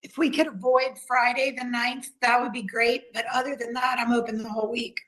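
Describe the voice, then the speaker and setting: A woman's voice: on the high side, little pitch movement, a little fast, a bit bright, poor audio. A woman attorney answering the judge in a court hearing, brief and matter-of-fact.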